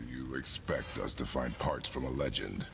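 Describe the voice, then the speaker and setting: Stern warrior, extremely deep and gravelly. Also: male voice, normal speed, background noise. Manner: curt, solemn, commanding. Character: stern, terse, commanding warrior man. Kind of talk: video game character acting.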